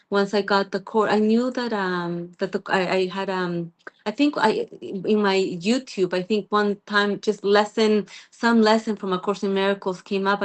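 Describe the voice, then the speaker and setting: Earnest middle-aged woman from Peru. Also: medium pitch. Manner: earnest, halting with frequent restarts and soft laughs. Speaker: guest sharing her spiritual journey. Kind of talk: reflective personal sharing in a group call.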